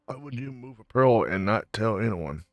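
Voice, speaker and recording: A man doing a casual game playthrough, reading dialogue aloud in a low-key, drowsy way. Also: expressive, normal speed, no echo, studio quality. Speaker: gamer on a playthrough. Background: faint game music.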